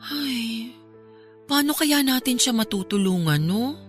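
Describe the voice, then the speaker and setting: A woman's voice: a little high, expressive, takes it slowly, faint music behind. A woman playing a concerned, thoughtful friend in a radio drama, asking and reflecting. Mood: reflective, concerned.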